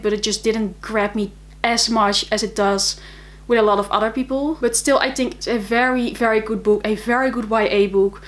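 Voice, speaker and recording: A young woman from the Netherlands with a Dutch accent, chatting casually and enthusiastically about books she read, with frequent fillers. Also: a little high. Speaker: book vlogger. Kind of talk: casual monthly reading wrap-up.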